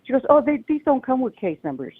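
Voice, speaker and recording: A woman caller with a loud voice, telling a long agitated story over the phone to a call-in show. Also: high voice, big pitch swings, fast, husky. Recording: tinny phone line.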